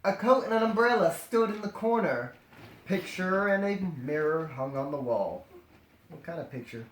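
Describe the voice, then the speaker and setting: A man's voice: on the high side, animated, moderate pace. A man reading a story aloud as comedy, breaking off into jokey, dramatized ad-libbed asides. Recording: roomy echo, faint noise and clicks.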